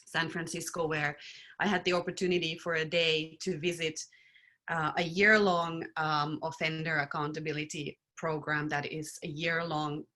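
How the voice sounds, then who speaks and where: A woman raised in Finland, speaking as a panelist in a thoughtful, earnest and measured way. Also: low voice, level tone, sharp tone. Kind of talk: reflective panel talk.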